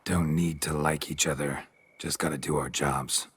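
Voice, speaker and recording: An actor playing a gruff, laconic monster hunter in a video game, with a gravelly, husky voice and a dry, sardonic delivery. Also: male voice, extremely low pitch, normal speed, full character voice. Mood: matter-of-fact. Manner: deadpan, sardonic.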